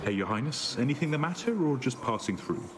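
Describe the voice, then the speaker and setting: A man's voice: mid-range voice, sing-song, brisk, natural voice. A man voicing a cheerful condemned man in a video game, easygoing, folksy and friendly. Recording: music under the voice.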